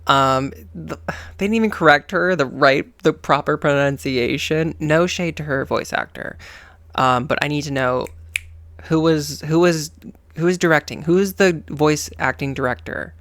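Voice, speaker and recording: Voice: mid-range voice, moderate pace, a bit bright, dry recording. A host giving casual reaction commentary in a campy, sassy way, with slangy exclamations and repetition for emphasis. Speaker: host of a gaming reaction channel.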